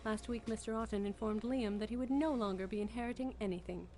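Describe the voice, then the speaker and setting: An actress playing a composed woman in a visual novel, speaking in a formal, measured and precise way. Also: a little high, dark tone, natural voice. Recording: music bed behind the voice.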